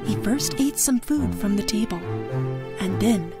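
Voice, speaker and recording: A woman's voice: a little high, lively intonation, unhurried. A woman with an American accent reading a scripted children's story as a storyteller. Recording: music behind the voice.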